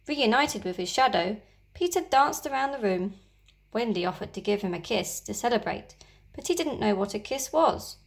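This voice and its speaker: A woman teacher reading a children's story aloud in an expressive, gently animated way. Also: medium pitch, gravelly.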